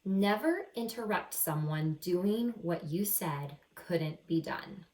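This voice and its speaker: A woman reading a picture book aloud to children as a teacher, expressive and encouraging, pausing to explain words simply. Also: fairly low pitch. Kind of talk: animated children's read-aloud with simple asides. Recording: roomy echo, distant microphone.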